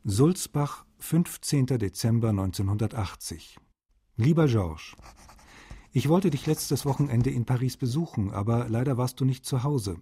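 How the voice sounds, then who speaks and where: A man's voice: mid-range voice, animated, a little slow, mellow, breathy edge. A man playing a friendly, wry translator, reading his letters aloud in a radio feature. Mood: friendly, brisk.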